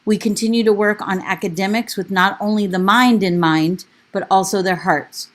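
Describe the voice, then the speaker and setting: A woman teacher and union officer delivering a prepared public comment to a school board in an earnest, steady manner over a remote call. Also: medium pitch, normal speed. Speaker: teacher and union vice president. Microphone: remote call audio.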